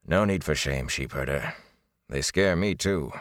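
A man voicing a stern, grim warrior bodyguard who speaks quietly and gruffly. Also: very deep voice, measured pace, dry recording, studio quality. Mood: steady.

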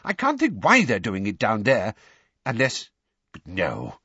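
A man voicing an anxious, hurried wizard father, agitated and breathless, in a storybook reading. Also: fairly low pitch, huge pitch swings, bright, forceful tone, breathy edge, no room sound, muffled recording, heavily acted. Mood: angry.

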